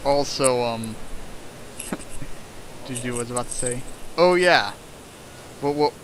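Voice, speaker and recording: A man's voice: medium pitch, slow pace, background noise. A man casually riffing on a text he reads aloud as host, in a sarcastic, mocking manner. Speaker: host of a fan fiction dramatic reading.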